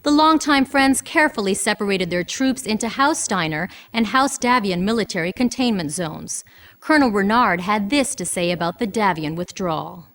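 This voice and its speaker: A polished female anchor reads war news in a game cutscene. Her voice is middle-pitched and her delivery is scripted newscast style. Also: lively intonation, moderate pace, a bit bright. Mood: composed.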